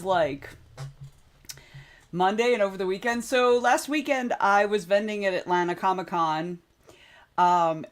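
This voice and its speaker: Streamer lady with a bit of a hoarse wobbly voice, chatty, keeps clearing her throat. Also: mid-range voice, fairly bright tone. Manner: chatty, greets viewers by name, frequent throat clearing. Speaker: livestream host. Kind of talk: casual chat on a writing stream.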